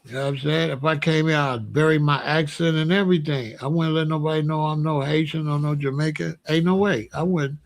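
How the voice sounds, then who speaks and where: A man with a somewhat high voice runs his own opinion show. He speaks bluntly in loose street slang and keeps firing rhetorical questions. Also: steady tone, fairly quick, vocal fry, hardly any pauses. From Chicago. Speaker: show host. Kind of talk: casual opinion monologue.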